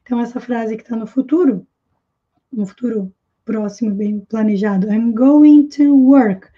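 A woman with a high, light voice teaches an English lesson from a small room at home. She says each example phrase slowly, more than once, and then explains it. The audio is dull and lo-fi, like a basic webcam mic. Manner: slow, careful repetition of example phrases. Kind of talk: online language lesson.

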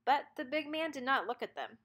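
A woman narrating a children's storybook aloud in an expressive read-aloud style. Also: high-pitched, brisk.

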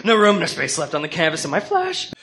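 A man playing a deranged serial killer who rants in an unhinged, theatrical way, high and creaky, in a radio drama. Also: huge pitch swings, fast, noisy background. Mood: muttering, crazed.

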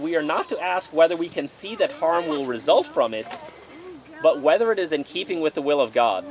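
A man in his forties, a pastor leading an outdoor sermon and Bible study, reading passages aloud and then preaching in a conversational way, recorded by a camera at a distance outdoors under a tent canopy. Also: fairly high pitch.

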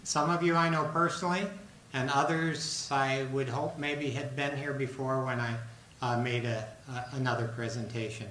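A man's voice: mid-range voice, fairly even intonation, pushed and bright. Older guy lecturing at a podium, lots of uh's, roomy hall, bit of hiss. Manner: measured, with frequent uh fillers and restarts. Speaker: guest lecturer. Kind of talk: public lecture on an artist. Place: large lecture room with a seated audience. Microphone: podium microphone, recorded by a far camera. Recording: roomy hall with faint noise.

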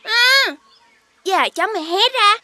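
A woman playing a crafty, cheeky peasant boy in an animated fairy tale, pert and argumentative. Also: very high-pitched, moderate pace, slight background noise, full character voice. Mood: scheming.

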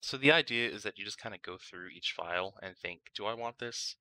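A man narrating a casual step-by-step software tutorial, informal and thinking aloud as he works. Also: on the low side, fairly quick, dry recording, low-quality recording. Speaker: tutorial host.

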